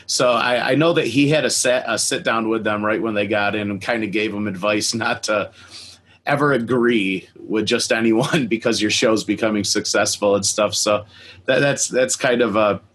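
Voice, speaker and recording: A man's voice: lowish voice, moderate pace, a bit bright, a little hiss or hum. A man hosting an interview as a casual chat, speaking informally like an enthusiastic fan. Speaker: interview host.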